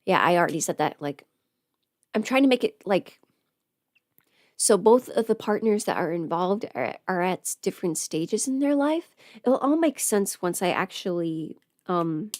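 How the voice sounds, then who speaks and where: A woman chatting casually on a livestream in a halting, rambling way, often trailing off mid-thought. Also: fairly low pitch, expressive, a little mellow, dry recording, clean studio sound. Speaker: livestream host, audio performer. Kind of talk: casual livestream chat while getting ready.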